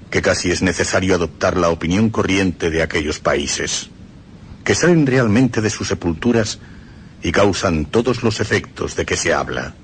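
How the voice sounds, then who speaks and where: A man reading historical texts aloud on a radio program in a grave, deliberate recitation. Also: low-pitched, slight background noise. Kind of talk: solemn scripted reading.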